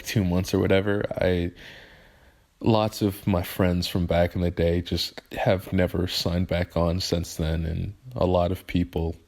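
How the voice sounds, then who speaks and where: A man of twenty-one talks to camera in a halting, emotional way, often restarting his sentences as he vents about growing up. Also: low voice, darkish tone. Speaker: vlogger. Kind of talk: personal venting monologue.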